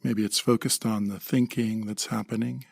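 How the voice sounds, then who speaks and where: A man leading a guided meditation in a calm, gentle manner, in short measured phrases with pauses. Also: fairly low pitch, vocal fry, dry recording, studio quality.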